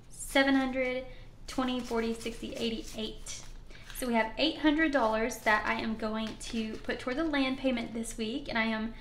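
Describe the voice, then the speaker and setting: A woman's voice: a little high, reverberant. A woman with a slightly scratchy voice, chatting casually and upbeat as she counts cash at a table for her budgeting channel. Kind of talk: casual talk to camera while counting cash. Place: indoors at a wooden table.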